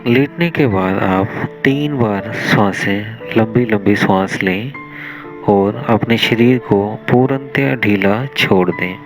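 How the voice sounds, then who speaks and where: A man with an Indian accent leading a guided meditation in a measured, instructional way, pausing between short phrases. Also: fairly low pitch, poor audio. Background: music.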